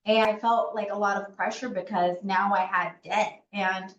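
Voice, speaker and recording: A woman in her thirties speaking in a relaxed, reflective way, using plenty of fillers. The sound is a bit dull and carries a roomy echo. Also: medium pitch, moderate pace. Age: 30s. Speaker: client service assistant. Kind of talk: casual interview answers.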